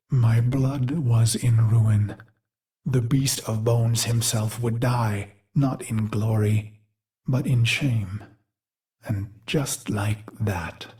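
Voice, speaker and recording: Slow, brooding warrior machine. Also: male voice, lowish voice, darkish tone. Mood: bitter, confessional. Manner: grave, theatrical, deliberate phrasing. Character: brooding male warrior machine mind recalling his brutal past. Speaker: game character narrator. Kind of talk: dramatic scripted game monologue.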